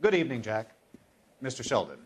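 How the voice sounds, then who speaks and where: An actor playing a genteel, polite, formal superior in a 1940s crime drama game. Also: male voice, medium pitch, very animated, fairly quick, slight background noise, lightly acted. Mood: genial.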